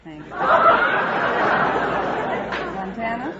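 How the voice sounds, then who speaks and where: A woman's voice: on the high side, lively intonation, extremely slow. An American woman in her sixties from Chicago, a quiz contestant answering questions briefly. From Chicago, United States. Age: 60s. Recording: very noisy, muffled vintage radio with crowd.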